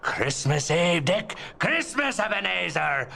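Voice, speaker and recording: Booming, high-pitched jolly old master. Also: male voice, big pitch swings, creaky, heavily acted. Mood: jolly, hearty. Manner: hearty, calling out in drawn-out shouts. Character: jovial old merchant master, booming and merry. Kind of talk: theatrical audio drama acting.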